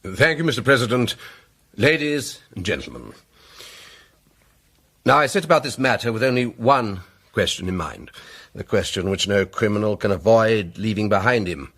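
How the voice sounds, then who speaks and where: An actor playing a pompous, self-assured English barrister sleuth in a radio drama, speaking with rhetorical, deliberate emphasis. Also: male voice, on the low side, expressive, a bit bright. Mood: assured, oratorical. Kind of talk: radio drama, courtroom-like oratory.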